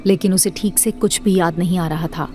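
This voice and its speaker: A woman's voice: lowish voice, fairly even intonation, normal speed, darkish tone, slight background noise. A woman reading scripted narration for an audio drama series.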